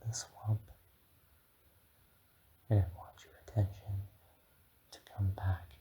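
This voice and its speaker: A man voicing a gentle, patient dragon mentor in a slow, whispered and soft-spoken ASMR guided meditation. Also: lowish voice, little pitch movement. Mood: soothing, instructive. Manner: slow, soothing, drifting between whisper and murmur.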